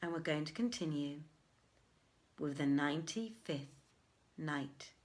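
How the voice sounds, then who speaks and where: A woman storyteller reads classic literature aloud in a measured, formal, dramatic audiobook style. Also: low voice. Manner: measured, archaic formal phrasing.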